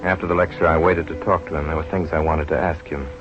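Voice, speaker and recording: An actor playing an earnest, troubled country doctor in an old radio drama. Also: male voice, rumbling low, flat delivery, fast. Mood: reflective. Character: earnest, troubled male country doctor narrating his own life. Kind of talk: 1930s-40s radio drama acting. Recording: muffled old radio recording, faint music.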